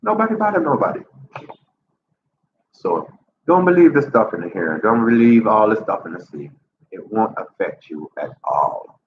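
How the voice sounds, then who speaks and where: A man in his fifties talks to camera in his apartment, upbeat and chatty, his voice jumping high to make a point. His nose is a little stuffy from a cold. The sound is roomy and a bit dull, with a clear background hiss. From Jamaica. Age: 50s. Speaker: vlogger. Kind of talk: casual vlog talk to camera. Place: apartment indoors. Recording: roomy lo-fi audio with ambient noise.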